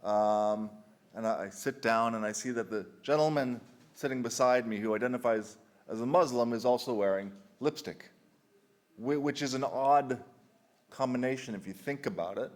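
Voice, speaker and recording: Man in his thirties, conference speaker; easygoing delivery, wry asides, halting restarts. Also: mid-range voice. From United Kingdom. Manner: conversational, frequent restarts and ums, dry humor. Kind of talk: conference presentation. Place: conference hall.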